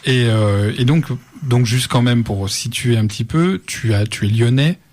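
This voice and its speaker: A man's voice: medium pitch, a little slow, a little mellow, a little hiss or hum. A man hosting a casual radio talk show, chatting informally and speaking directly to listeners and guests. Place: radio studio. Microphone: close studio microphone.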